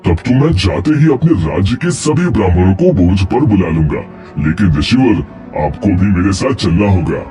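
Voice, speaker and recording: A man playing a credulous, reverent king in a cartoon moral story, deferential and expressive. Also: low voice, moderate pace, few pauses, soft background music. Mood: eager, deferential.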